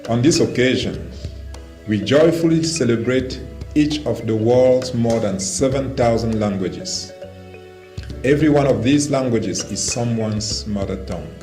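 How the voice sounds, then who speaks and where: A man from Cameroon whose first language is Romala, reading a formal, measured statement for a language organization. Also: mid-range voice, warm tone. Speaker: language development representative. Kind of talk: prepared statement read aloud. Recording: roomy video clip with faint music.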